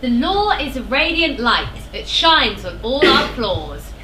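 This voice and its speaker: Woman acting a bright, echoey tragic chorus voice. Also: sits high, very animated, takes it slowly, exaggerated voice. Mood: devout fervour. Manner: earnest, declamatory. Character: devout, solemn counselling member of tragic chorus. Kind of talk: classical tragedy stage acting. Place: indoor theatre stage. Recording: echoey theatre, faint background noise.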